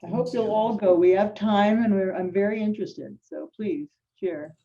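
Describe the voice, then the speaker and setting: A woman facilitating a workshop, gently encouraging participants to share. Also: mid-range voice, moderate pace. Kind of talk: running an online workshop. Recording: dull lo-fi sound.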